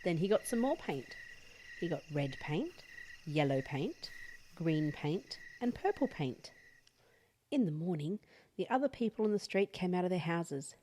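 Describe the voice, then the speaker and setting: Low-pitched woman reading a children's story. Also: very animated, measured pace, dark tone. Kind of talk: expressive read-aloud bedtime story narration.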